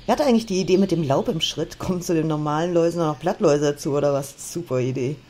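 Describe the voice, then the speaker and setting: A woman's voice: low-pitched, very fast, dark tone, a little hiss or hum, natural voice. A woman acting a bossy, teasing and curious Eve in a comedic audio drama. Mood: mocking. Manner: sassy, teasing.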